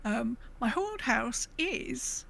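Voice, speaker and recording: A woman's voice: a little high, animated, drawn-out words, vocal fry, fairly bright tone, a little hiss or hum. A woman hosting a podcast in casual, conversational chat. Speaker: podcast host.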